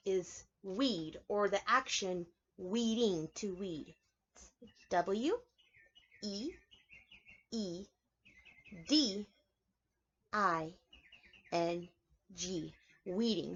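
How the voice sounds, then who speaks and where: A woman preschool teacher reading aloud and explaining things to young children, clearly emphasizing key words in an instructive way. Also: mid-range voice, a bit bright, highs cut off, a little hiss or hum. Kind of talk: children's read-aloud lesson with explanations and questions.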